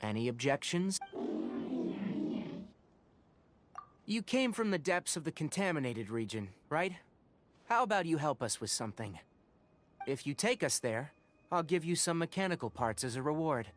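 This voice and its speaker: An actor playing a calm, thoughtful young male scholar in a video game, speaking in a measured, explanatory way. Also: fairly high pitch, slight background noise. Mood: reasoning. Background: game music.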